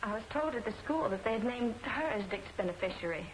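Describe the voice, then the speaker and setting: A woman's voice: on the high side, animated, fast talker, a little hiss or hum, natural voice, rough recording. An actress playing a guarded young woman in a crime melodrama, in dramatic 1940s film serial style. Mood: earnest, subdued.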